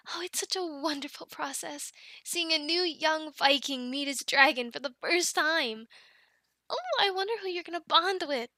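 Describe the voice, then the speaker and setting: High, bright young Viking woman, acted by a woman. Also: dry recording, exaggerated voice. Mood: excited. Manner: chatty, affectionate coaxing and explaining. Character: cheerful young female Viking dragon keeper, tender with her animals. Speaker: amateur audio roleplay performer. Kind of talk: ASMR roleplay acting, speaking to the listener.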